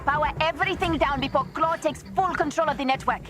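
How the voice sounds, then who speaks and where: A woman playing a clever, cheeky young princess inventor in a video game, with a crisp, informative way of talking. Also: sits high, quick pace, music in the background, natural voice. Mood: focused. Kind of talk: video game cutscene dialogue.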